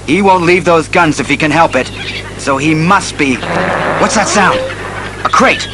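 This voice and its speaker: A man's voice: on the high side, a little slow, natural voice. A man playing a steadfast, commanding masked crime-fighter in a resolute, declamatory superhero audio drama style. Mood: tense, alert. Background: dramatic music.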